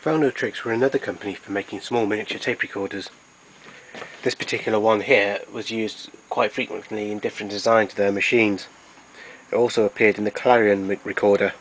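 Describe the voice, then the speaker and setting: A man giving an informal, matter-of-fact demonstration as a collector, explaining things in a halting way. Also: lowish voice, fairly even intonation, a little hiss or hum, poor audio. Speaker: collector presenting his tape recorders. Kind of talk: informal product demonstration narration.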